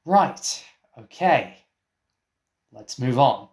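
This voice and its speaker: Man acting a slow-talking, exasperated male interviewer. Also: medium pitch, lively intonation, a little mellow. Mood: resigned. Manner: dry, incredulous, questioning. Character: exasperated, baffled male interviewer and film producer. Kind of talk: scripted comic mock interview.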